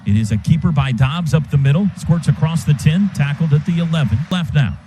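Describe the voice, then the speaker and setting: An American man giving live radio play-by-play of a football game in clipped phrases with excited calls. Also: on the high side, brisk, noisy background.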